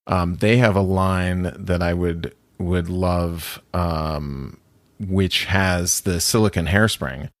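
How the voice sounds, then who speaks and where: A man's voice: low voice, steady tone, slow, no room sound. A man co-hosting a casual podcast, chatty and rambling, who laughs often. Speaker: podcast co-host. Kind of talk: casual chat about watches.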